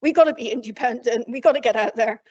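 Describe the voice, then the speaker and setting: A woman from Cornwall speaking loudly and plainly from memory to a council committee, rambling with frequent restarts. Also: on the high side, fast talker. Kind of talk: unscripted address from memory. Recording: dull lo-fi council meeting recording.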